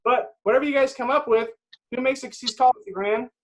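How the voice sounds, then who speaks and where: An excitable teenage boy acted by a man, pitched high and chattering away. He is a bubbly, slightly awkward young superhero sidekick in a table read. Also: a little fast. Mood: excited, chatty.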